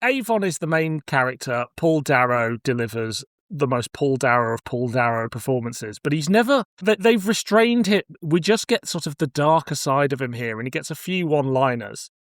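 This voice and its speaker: A man's voice: medium pitch, expressive, moderate pace, hardly any pauses, dry recording, studio quality. A man hosting a casual podcast chat, speaking bluntly with swearing and acting out imagined thoughts. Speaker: podcast host. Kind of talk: casual podcast chat about cult sci-fi.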